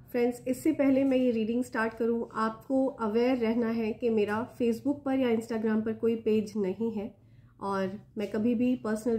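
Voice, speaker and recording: A woman with an Indian accent and a slightly hoarse throat, hosting a spiritual reading and speaking directly to her viewers with gentle blessings. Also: on the high side. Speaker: spiritual reader and channel host. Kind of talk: spiritual reading addressed to viewers, Hindi mixed with English.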